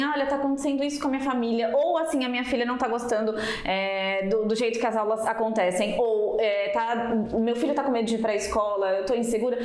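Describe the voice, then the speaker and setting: A woman's voice: on the high side, darkish tone. A woman interviewing a guest, asking long questions and offering encouragement. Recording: echoey room.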